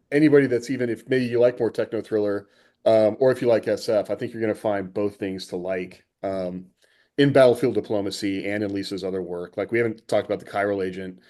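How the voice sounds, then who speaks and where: Deeper voiced guy podcast cohost, rambling casual chat. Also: dark tone. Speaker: podcast co-host. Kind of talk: casual book discussion.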